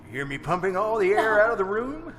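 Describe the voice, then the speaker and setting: A man's voice: sits high, sing-song, quick pace. A man playing a gloating, boastful serial killer in an old-time radio horror style, cackling and savoring his words theatrically. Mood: menacing glee. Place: live performance at a winery venue. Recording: live stage radio play over music.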